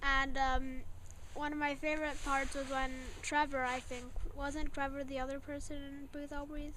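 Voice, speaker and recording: High-pitched child, halting, noisy recording. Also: little pitch movement, sharp tone. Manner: halting, searching for words. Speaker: young guest reviewing a show. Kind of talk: impromptu casual interview.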